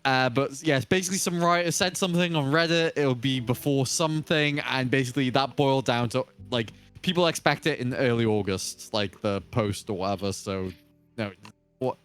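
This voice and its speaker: A man's voice: mid-range voice, moderate pace, a bit bright, smooth. A man in a casual podcast chat about gaming, talking in an emphatic, playful way. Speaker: podcast panelist. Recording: music behind the voice.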